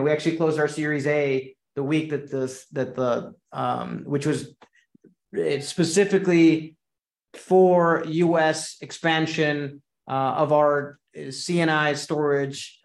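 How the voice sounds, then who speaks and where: A man's voice: medium pitch, gravelly, reverberant. A man from Venezuela, a company head talking as a podcast guest, hesitant, with many ums and restarts. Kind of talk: podcast interview.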